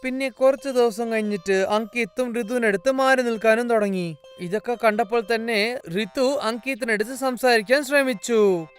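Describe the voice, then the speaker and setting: A man narrating a cartoon fairy tale in a plain, even storytelling manner. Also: extremely high pitch, moderate pace, smooth, faint music behind. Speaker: narrator and voice of several characters.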